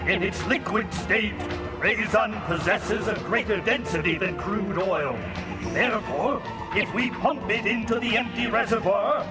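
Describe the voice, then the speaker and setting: A man playing an authoritative, resolute heroic robot leader in an audio storybook, deliberate and commanding. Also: high voice. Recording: echoey audio under loud music.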